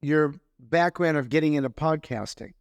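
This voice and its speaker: A man's voice: on the high side, moderate pace, no echo, lo-fi sound. A man co-hosting a podcast in casual chat, easygoing and a bit rambling. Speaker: podcast co-host.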